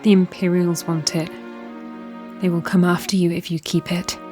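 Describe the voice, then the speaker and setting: A woman playing a resolute, war-weary young princess in a fantasy audio drama, measured, formal and earnest. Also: on the low side, little pitch movement, a little mellow, soft background music, natural voice. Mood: urgent, persuasive.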